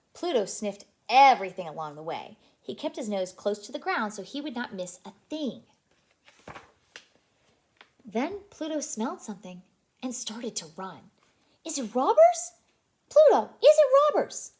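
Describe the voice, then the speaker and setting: Female storyteller, childcare read-aloud, expressive, lively swooping intonation, fairly high pitch, animated delivery. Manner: animated, asks listeners questions.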